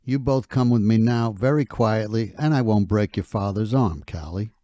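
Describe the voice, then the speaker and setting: An actor playing a menacing, controlled security officer in an audio drama, commanding and quietly threatening. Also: male voice, on the low side, moderate pace, dry recording, lightly acted. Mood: cold menace. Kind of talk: scripted audio drama acting.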